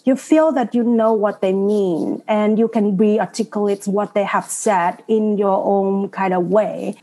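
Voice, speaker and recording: A woman's voice: mid-range voice, dark tone, rough recording. Reflective woman speaking with many restarts. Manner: hesitant, restarts often, reflective. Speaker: university teacher, alumna panelist. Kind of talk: casual panel talk.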